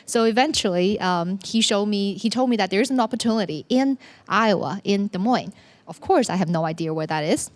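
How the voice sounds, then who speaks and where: A woman who owns a business tells an audience her personal story in a lively way, laughing often and acting out the lines she quotes. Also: medium pitch, fairly quick.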